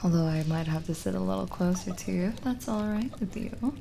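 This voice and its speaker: A woman playing a lonely, kindly witch in an intimate ASMR roleplay, speaking softly and breathily with a gentle teasing manner, often near a whisper. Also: medium pitch, gravelly, slight background noise, natural voice. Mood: soft, flirtatious. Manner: slow, hesitant, gently teasing.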